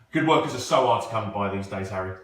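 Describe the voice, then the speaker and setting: A man's voice: on the low side, fairly bright tone. Polite boss in a very echoey room, acted by a man. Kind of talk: amateur stage play acting, recorded at home. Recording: very echoey room, faint noise.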